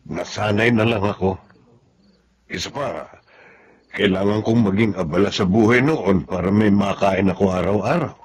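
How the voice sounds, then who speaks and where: An actor playing a humble, hardworking, stoic farmhand in a Filipino radio drama, speaking plainly and modestly. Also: male voice, fairly low pitch, slightly rough, heavily acted.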